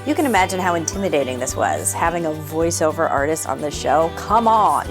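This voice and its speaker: Woman, podcast host, scripted intro, upbeat, clear music underneath. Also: deep voice, expressive, a little fast. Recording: intro read over music.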